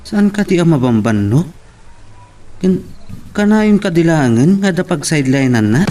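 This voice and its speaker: A man's voice: a little high, sing-song, moderate pace, over music. A man playing an anxious, dutiful young adult son in an audio drama, with an Ilocano accent. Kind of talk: Ilocano radio-style drama acting. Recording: slightly distant mic.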